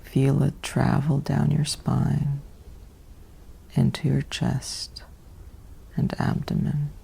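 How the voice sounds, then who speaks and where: A woman softly guiding a sleep meditation in a hushed, half-whispered, soothing delivery with long pauses. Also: rumbling low, monotone, vocal fry, breathy edge. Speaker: narrator of sleep stories and guided meditations. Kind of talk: slow guided sleep meditation, soothing bedtime reading.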